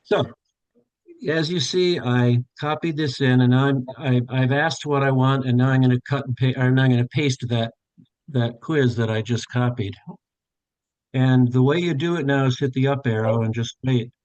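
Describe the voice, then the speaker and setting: A man's voice: mid-range voice, fairly even intonation, normal speed, darkish tone, no room sound, dull audio. An older man leading a live online workshop for tutors, thinking aloud as he narrates each step on a video call, with frequent fillers and self-corrections. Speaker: tutor trainer. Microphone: webcam on a video call.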